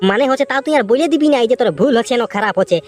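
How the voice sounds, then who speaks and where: A woman's voice: high voice, racing, darkish tone, faint music behind, natural voice. A woman voicing a grumbling, rambling village priest in a comic cartoon, repeating phrases in a Purulia Bengali accent. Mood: exasperated. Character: grumbling, hungry village priest, henpecked husband.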